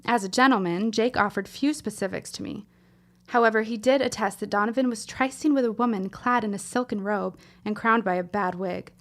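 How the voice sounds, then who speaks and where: A woman's voice: medium pitch, fairly quick, lightly acted. An actress playing an earnest, wounded young woman reciting a solemn letter in formal, literary style for a period audio drama. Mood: grave warning. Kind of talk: scripted period drama monologue.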